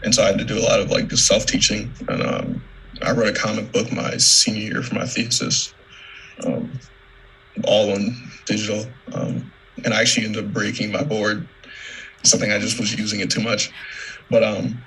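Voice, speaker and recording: Low-voiced young man chatting haltingly. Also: flat delivery, a bit bright. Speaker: artist presenting work. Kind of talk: casual chat. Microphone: video call. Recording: noisy video call.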